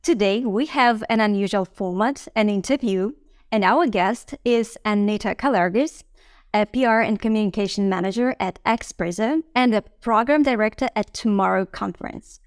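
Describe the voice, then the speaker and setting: A woman's voice: medium pitch, moderate pace, dry recording, clean studio sound. A woman hosting an online interview, friendly and encouraging, with fillers. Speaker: interviewer, host. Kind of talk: interview, conversational.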